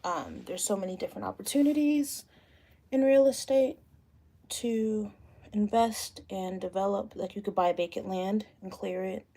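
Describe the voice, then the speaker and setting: A woman in her late thirties talks into her phone at home, loose and chatty as she answers viewers' questions. Her voice is mellow and dark, with a gravelly fry running through it. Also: medium pitch, moderate pace. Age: 30s. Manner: chatty and relaxed, thinking aloud while doing her hair. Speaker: realtor livestreaming a Q and A. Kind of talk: casual livestream chat. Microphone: phone camera.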